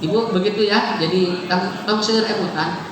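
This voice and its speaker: Sundanese preacher joking in an echoey hall. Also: male voice, high voice, steady tone, normal speed. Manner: chatty, joking, mixing in local words, laughs often. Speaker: Islamic preacher. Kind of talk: lively humorous sermon to a congregation. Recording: echoey hall, far from the mic, noisy.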